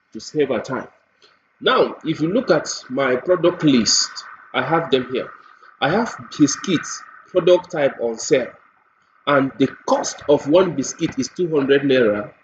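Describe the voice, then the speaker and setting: A man with a Nigerian accent giving a software tutorial walkthrough, explaining step by step and repeating points with everyday examples. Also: medium pitch. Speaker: software demonstrator. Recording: dull lo-fi mic with faint noise.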